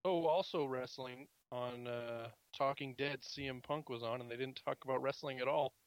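A man's voice: medium pitch, steady tone, normal speed, dry recording. A man co-hosting a podcast, giving a laid-back, matter-of-fact wrestling update. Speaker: podcast co-host, wrestling segment. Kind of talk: casual podcast chat. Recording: slightly dull, lo-fi mic.

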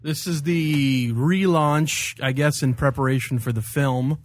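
A man's voice: on the high side, a little slow, no room sound. A man co-hosting a casual podcast chat in an informal, slangy, joking manner. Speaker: podcast co-host.

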